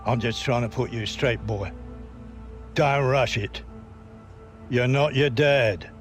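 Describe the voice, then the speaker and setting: A man's voice: medium pitch, slow, close to an everyday voice. A man playing a plain-spoken, caring older relative in a video game cutscene. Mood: firm, reassuring. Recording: game dialogue over faint music.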